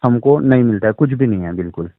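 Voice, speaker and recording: A man's voice: mid-range voice, expressive, fast talker, dry recording. A man in a casual phone conversation, answering questions in a placating, repetitive way on a dull recorded call. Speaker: car owner being questioned by a reporter.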